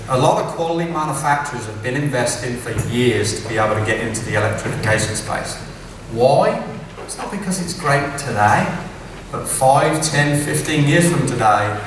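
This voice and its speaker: English man speaking in an echoey hall. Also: mid-range voice. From England. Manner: conversational, keeps asking "right?". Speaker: conference keynote speaker. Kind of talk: conference keynote talk. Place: large conference hall. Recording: echoey distant hall recording with background noise.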